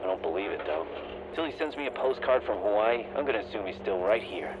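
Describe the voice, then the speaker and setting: An actor playing a firm, gritty military dispatcher in a game audio log, talking bluntly over the radio. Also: male voice, fairly low pitch, lively intonation, quick pace. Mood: wry, irritated. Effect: radio transmission. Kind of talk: dramatic acting in a video game audio log. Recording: muffled, noisy radio-filtered sound.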